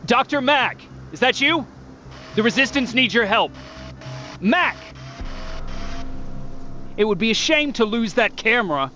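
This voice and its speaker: A man's voice: extremely high pitch, expressive, measured pace, pauses often, full character voice. A man playing a dutiful, earnest soldier of a human resistance in a dramatic video game. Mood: urgent, frustrated. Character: weary, dutiful male resistance soldier, young adult. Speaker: game protagonist and narrator. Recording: dull game audio under loud music.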